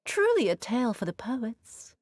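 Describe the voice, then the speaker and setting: An actress playing a cruel, vengeful woman in a video game, mocking and imperious, with a theatrical, drawn-out delivery that sinks into breathy whispers. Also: a little high, big pitch swings, a little mellow, no echo, studio quality. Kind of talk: dramatic video game cutscene acting.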